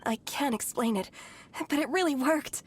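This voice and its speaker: A woman's voice: high voice, expressive, moderate pace, a little hiss or hum. A woman playing a devout, lovelorn, hopeful bride-to-be in a video game, fervent and naively certain. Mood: fervent, overjoyed.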